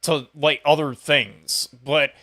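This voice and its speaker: Bright, higher-pitched male podcast interviewer. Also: little pitch movement, slow. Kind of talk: casual conversational interview.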